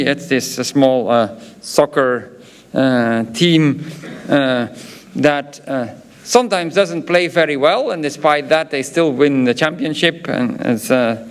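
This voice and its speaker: A man from Belgium with a Flemish accent, a professor giving a graduation ceremony speech, hesitant and full of filler sounds, with chatty asides. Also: fairly high pitch. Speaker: professor presenting diplomas.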